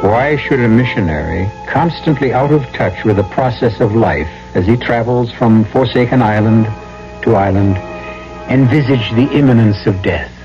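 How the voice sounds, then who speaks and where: Male host-narrator for vintage mystery radio: portentous, mid pitch, music beneath, muffled sound. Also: normal speed. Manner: portentous, literary. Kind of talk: scripted old-time radio drama narration.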